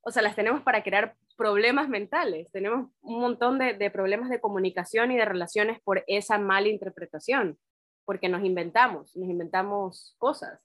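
A woman's voice: medium pitch, moderate pace, a bit bright, no echo. A woman co-presenting an informal, reflective talk.

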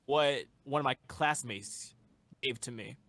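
A young man talks as an interview guest about his music, rambling and earnest, with a steady mid-range voice. He fills his sentences with fillers and restarts. The sound is dry and close, with no room around it. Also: mid-range voice, unhurried, no echo. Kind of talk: casual interview chat.

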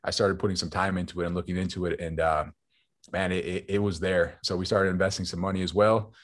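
A man in his late twenties, a former pro football player now in business, telling stories in a relaxed, rambling way and often saying "man". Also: sits low, fast talker. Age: 20s. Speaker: guest, former football player turned entrepreneur. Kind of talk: casual interview storytelling.

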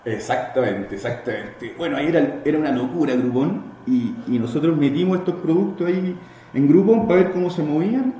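A man's voice: a little high, animated, a little fast, mellow. A man in his thirties with a Chilean accent, chatting as an interview guest and telling his story in a loose, repetitive way. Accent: Chilean Spanish. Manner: chatty, repeats words while building a story. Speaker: guest, entrepreneur. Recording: roomy echo, faint background noise.

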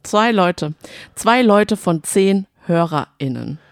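A woman's voice: lowish voice, expressive, slow, dry recording, clean studio sound. A woman co-hosting a casual podcast, chatty and teasing in manner. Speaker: podcast co-host.

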